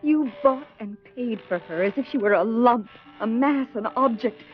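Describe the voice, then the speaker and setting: A woman's voice: a little high, moderate pace, soft background music, natural voice. A woman playing a possessed wife in a horror radio play, menacing and mocking, sliding into whispers and wails. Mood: mocking, contemptuous. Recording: muffled lo-fi radio drama recording.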